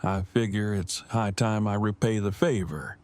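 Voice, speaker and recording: A man's voice: on the low side, a little slow, darkish tone, no echo, natural voice. An actor playing an earnest, long-winded nerdy man in an audio drama, with formal and precise wording. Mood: awkward, agreeable. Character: earnest, awkward, verbose nerdy man newly in love.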